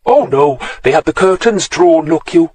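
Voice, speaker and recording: A man's voice: on the high side, lively intonation, moderate pace, darkish tone, husky, no room sound. A man voicing a laid-back hairy hippie artist with a sing-song Welsh accent, as a reader of a storybook. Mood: easygoing.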